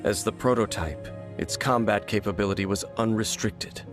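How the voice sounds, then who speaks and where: An actor voicing a composed, secretive scholar who explains things in a measured way, in a video game cutscene. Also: male voice, fairly low pitch, close to an everyday voice. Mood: grave. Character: calm, secretive man, a learned scholar. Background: game music.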